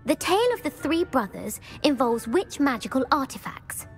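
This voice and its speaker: A woman's voice: high-pitched, big pitch swings, normal speed, soft background music. A young woman voicing a bookish student in a video game who eagerly lectures and quizzes others. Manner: eager and lecturing, bursts of praise.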